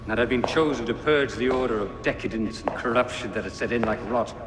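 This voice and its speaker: An actor playing a grave, fanatical Templar villain in a video game, speaking in a measured, theatrical, preaching way. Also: male voice, medium pitch, close to an everyday voice. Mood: fervent. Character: grave fanatical Templar mastermind, a dying male visionary villain. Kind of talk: dramatic video game acting. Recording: echoey hall reverb, noisy ambience behind.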